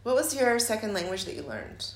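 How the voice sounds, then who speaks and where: A woman's voice: medium pitch, sing-song, a little fast. A woman interviewing someone, asking short, plain questions. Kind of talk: oral history interview. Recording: echoey room.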